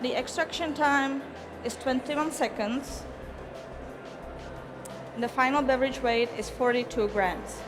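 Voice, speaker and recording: A woman's voice: sits high, over music. Czech-accented woman presenting at a competition. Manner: measured, careful, formal. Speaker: barista competitor. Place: coffee competition stage. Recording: noisy event venue ambience.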